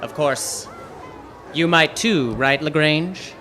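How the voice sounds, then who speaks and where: An actor playing a hard-boiled, wisecracking detective who narrates and talks with dry wit in a live old-time radio noir. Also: male voice, fairly high pitch, takes it slowly, a crowd in the background, background noise. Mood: cool needling. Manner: dry, deadpan, wry.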